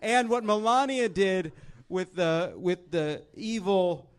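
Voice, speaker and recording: A man's voice: high-pitched, takes it slowly, clear, resonant tone. A man hosting a comedic political panel, riffing in an exasperated, profane and theatrical way. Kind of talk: comedic panel banter and commentary.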